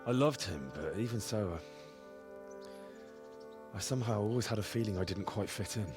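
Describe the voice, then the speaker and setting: A man plays a young medieval hero in a cinematic game, earnest and wounded. His voice sits a little low and his delivery is plain-spoken. Music is prominent behind him. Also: expressive, normal speed, lightly acted. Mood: regretful. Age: young adult. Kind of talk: cinematic video game dialogue.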